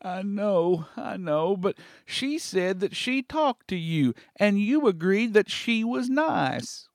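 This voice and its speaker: A man plays a gentle, dim young tom turkey from a storybook. He talks very slowly and earnestly, with a lively rise and fall in pitch. There is a simple innocence to the delivery, recorded dry. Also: no echo, full character voice. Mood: confused. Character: naive, gentle, slow-witted, gluttonous young male turkey. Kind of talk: animal storybook character voice.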